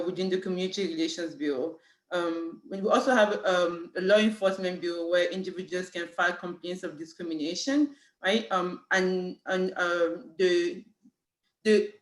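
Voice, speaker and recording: A speaker from Cameroon with a French accent, a city human rights official giving an informational panel talk and leaning heavily on "you know" fillers and "right?" tags. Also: medium pitch. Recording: echoey room.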